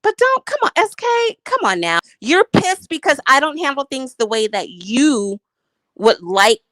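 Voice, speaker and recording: A woman's voice: high voice, big pitch swings, a little slow, fairly bright tone, dry recording. A married woman defending herself in a heated, profane livestream argument, emphatic and defensive. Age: adult, married. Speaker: YouTube commentator.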